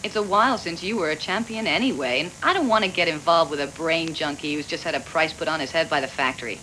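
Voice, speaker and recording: A man's voice: on the high side, quick pace, bright, forceful tone. A man playing a proud, obsessive cyborg doctor and schemer in an anime dub on worn tape. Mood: scornful. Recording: noisy worn VHS dub, long reverb.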